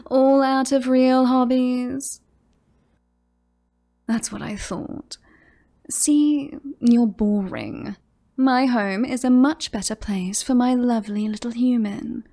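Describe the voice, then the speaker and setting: Woman playing a slow, whispery possessive female werewolf. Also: on the high side, fairly even intonation, warm tone. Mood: smug, teasing. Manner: slow, breathy asides, often dropping into whispers. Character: possessive, lovestruck female werewolf rival, teasing and boastful. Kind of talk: roleplay ASMR audio drama.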